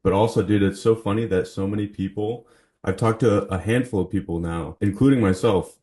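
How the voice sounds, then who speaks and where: A low-pitched male podcast host talks in a casual, enthusiastic way, using relaxed slang as he presents and interviews. The recording is noticeably roomy and echoey. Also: a little fast. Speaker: podcast host and company co-founder. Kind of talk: podcast introduction and conversational interviewing.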